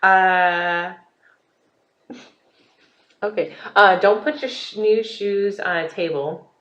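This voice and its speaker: A woman's voice: medium pitch, slow, a bit bright. A woman co-hosting a podcast in casual chat, teasing her partner and laughing often. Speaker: podcast co-host. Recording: echoey, dull lo-fi sound.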